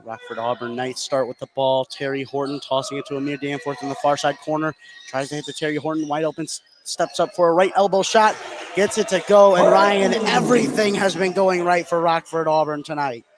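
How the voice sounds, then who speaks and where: An American man calling live basketball play-by-play on the radio, getting excitable on big plays. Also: on the high side, brisk. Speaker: sports radio play-by-play announcer. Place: indoor high school basketball game. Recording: noisy live gym broadcast.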